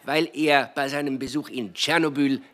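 A man's voice: mid-range voice, fairly even intonation, moderate pace, lightly acted. An actor in a radio drama playing a glib, needling male TV talk show host with a showy, teasing delivery. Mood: gleeful, showy. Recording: loud show music, crowd noise.

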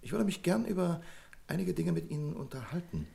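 A fussy, domineering branch manager in a vintage German radio drama. His pitch leaps and drops constantly as he hands out clipped orders. He sounds middle-aged and thoroughly self-important. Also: big pitch swings, brisk, gravelly, warm tone, heavily acted. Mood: brisk, self-important. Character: pedantic, overbearing male bank branch manager. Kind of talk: 1970s German radio play acting.

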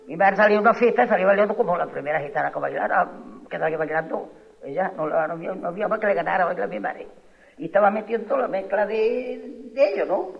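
A woman's voice: mid-range voice, quick pace, gravelly, husky. A woman, a flamenco singer telling her story in an old radio interview, repeating her points insistently. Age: 60s. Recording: dull old radio tape with reverb.

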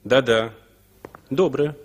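An actor playing a brooding, bitter passenger who moralizes in a measured, rhetorical way in a 1960s Soviet radio drama. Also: male voice, medium pitch, lightly acted. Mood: bitter, reflective.

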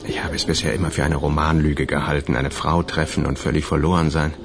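Radio drama casting: smitten fugitive, bottom-register voice, grave confessional delivery. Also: male voice, rumbling low, little pitch movement, quick pace, vocal fry. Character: troubled, smitten man on the run. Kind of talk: German radio crime drama acting. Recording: music playing behind the voice.

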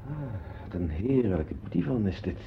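An actor playing a self-important, opinionated husband in a radio drama, confiding in listeners in a wordy way and hesitant with strangers. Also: male voice, low voice, animated, moderate pace, creaky, a little hiss or hum. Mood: polite, appreciative. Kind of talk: radio drama acting with narrated asides. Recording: dull, lo-fi old radio play recording.

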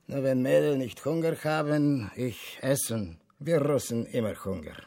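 An actor playing a gruff, hospitable Russian man in a radio drama, speaking blunt broken phrases with a Russian accent. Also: medium pitch, a little slow, dark tone. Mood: bossy, jovial.

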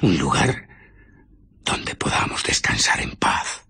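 An actor playing an embittered, menacing invisible scientist in a Spanish radio drama, with a Castilian accent, husky breath and intense, halting emphasis that sinks into whispers. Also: male voice, a bass voice, sing-song, bright, forceful tone, heavily acted. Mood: brooding, intense.

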